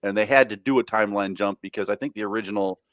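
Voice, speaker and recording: A man's voice: lowish voice, fast, fairly bright tone, dry recording. A man co-hosting a podcast, chatting eagerly with many stammered restarts. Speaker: podcast co-host. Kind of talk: casual chat.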